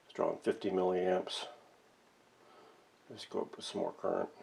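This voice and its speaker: A man's voice: low voice, fairly even intonation, normal speed, creaky, a little mellow. A man in his sixties giving a casual, plainspoken hobby workshop vlog in a matter-of-fact way. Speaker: host of a vintage radio restoration channel. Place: cluttered indoor home workshop.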